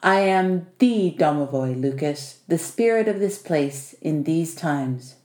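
A woman's voice: sits low, lively intonation, slow, a little mellow. Woman playing a bossy, wry little male house spirit. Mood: proud, generous. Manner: wry and teasing, with foreign-sounding turns of phrase. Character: short, bossy, dryly witty male domovoi house spirit. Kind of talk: audiobook character dialogue. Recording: echoey room.

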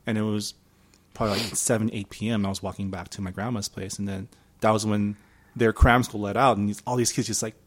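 A man's voice: on the low side, flat delivery, fairly quick. Laid-back young man, lots of ums. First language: Mandarin. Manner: laid-back, hesitant, many ums and trailing asides. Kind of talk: casual conversation.

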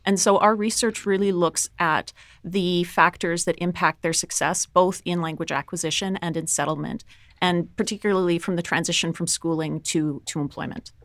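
A woman's voice: fairly low pitch, fairly even intonation, moderate pace, a bit bright, dry recording. A woman, an associate dean of research, explaining her work matter-of-factly as a podcast guest. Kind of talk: interview answers.

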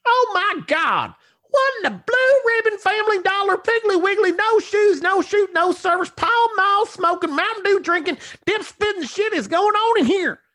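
A man voicing a shrill, indignant Southern country wife full of folksy exclamations, in a comedy story. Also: lively intonation, moderate pace, sharp tone, no echo, studio quality, full character voice. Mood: outraged.

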